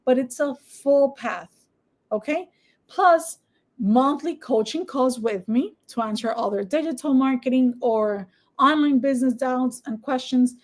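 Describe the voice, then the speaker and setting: A Dominican woman whose first language is Spanish, speaking English with a Spanish accent as she leads a screen-share tutorial and sales pitch, briskly persuasive and often checking in with "okay?". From Dominican Republic. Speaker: online business coach.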